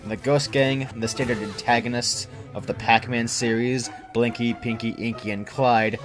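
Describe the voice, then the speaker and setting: Guy reading a creepypasta, middle pitched voice, bit of music underneath. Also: fairly even intonation, moderate pace. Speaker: narrator, creepypasta reader. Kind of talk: scripted story narration.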